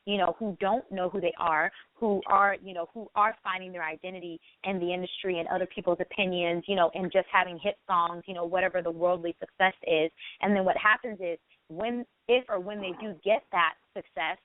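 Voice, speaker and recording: Phone-like, low-voiced woman talk show host. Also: steady tone, fairly quick, dry recording. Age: late 20s. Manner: affirming, frequent "you know". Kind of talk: encouraging conversational interview. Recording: tinny phone-like radio sound.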